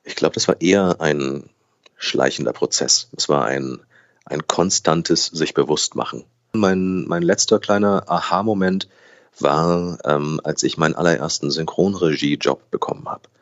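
A man's voice: rumbling low, a little slow, lo-fi sound. A man in his late twenties, a guest in an interview, talking thoughtfully and often correcting himself mid-sentence. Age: 20s. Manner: thoughtful, restarts and self-corrections. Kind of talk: conversational interview.

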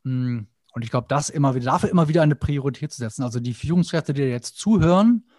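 Earnest, animated man on a dry mic. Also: medium pitch, quick pace, no room sound. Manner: earnest, animated, acts out quoted inner voices. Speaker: podcast guest. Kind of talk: reflective conversational interview.

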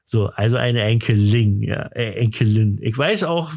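A middle-aged man with a Berlin accent, chatting casually as a podcast host in a rambling, halting, jokey way. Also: on the low side, animated, a little mellow, no echo, studio quality. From Berlin, Germany.

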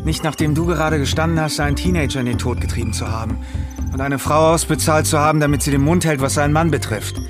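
A man's voice: on the high side, fast, lightly acted. An actor playing a middle-aged male journalist in an audio drama, determined and accusing, with a controlled, dry delivery. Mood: dry, triumphant. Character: determined, accusing male journalist, wounded but quietly triumphant. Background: music.

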